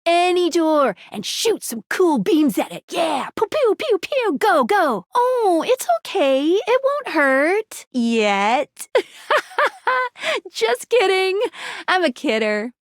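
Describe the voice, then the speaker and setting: A woman's voice: very high-pitched, sing-song, slow pace, no echo, studio quality, exaggerated voice. A woman voicing a perky, quirky pair of talking magic glasses, a bubbly, self-deprecating guide in a video game, with cutesy, jokey delivery. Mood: joking, self-deprecating. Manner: bubbly, cutesy, jokey with playful baby-talk and asides. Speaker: game guide and tutorial character. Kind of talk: animated video game character voice acting.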